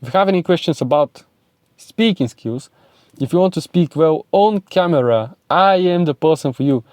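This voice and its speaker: A young Bulgarian-accented man lectures with pushy, repetitive emphasis, his voice sitting a bit high yet mellow and soft-edged. The sound is close and dry, with no room echo. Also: animated, normal speed. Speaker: self-improvement vlogger and speaking coach. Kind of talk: motivational talk to camera.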